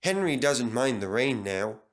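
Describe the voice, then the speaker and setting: A man's voice: mid-range voice, lively intonation, a little slow. A man narrating a scripted children's story as a storybook reader.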